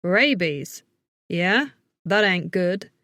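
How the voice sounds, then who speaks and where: A woman voicing a gruff, cocky, easygoing man with a Southern US drawl in an audiobook. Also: lowish voice, lively intonation, very slow, bright, forceful tone, no echo, exaggerated voice. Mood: cocky, casual. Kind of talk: audiobook character acting.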